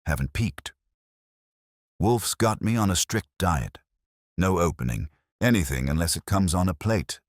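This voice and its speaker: Man playing a very deep wisecracking detective's sidekick. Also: lively intonation, unhurried, no echo, clean studio sound. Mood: cocky. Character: wisecracking tough male private eye's assistant, narrating. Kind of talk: hardboiled detective audiobook narration.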